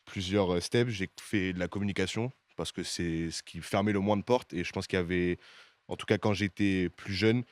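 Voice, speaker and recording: A man's voice: deep voice, normal speed, no room sound. A man in his twenties, a podcast guest, talking casually and hesitantly with many fillers. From France. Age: 20s.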